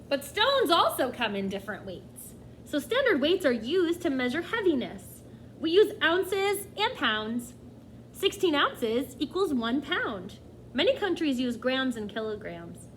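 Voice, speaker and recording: A woman's voice: on the high side, a bit bright, slight background noise. A woman teacher reading a nonfiction book aloud to children, expressively, often addressing them directly with questions and asides.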